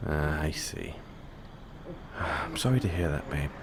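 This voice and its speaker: A man's voice: rumbling low, expressive, measured pace, noisy background, full character voice. A man playing a playful, affectionate boyfriend in an intimate bedtime audio roleplay. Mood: affectionate, soothing. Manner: teasing, affectionate.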